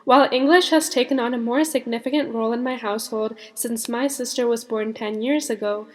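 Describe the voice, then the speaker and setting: A teenage girl co-hosting a youth podcast, reading her part in a measured, explanatory way. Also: on the high side, steady tone. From born in India, raised in the United States. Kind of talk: read-aloud podcast script. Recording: long reverb on the voice.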